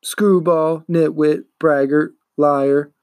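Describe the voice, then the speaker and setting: A man's voice: fairly high pitch, fairly even intonation, slow, a little mellow, no room sound, pitched above the performer's own voice. A man voicing a meek, bullied young schoolboy in a storybook, hesitant and subdued.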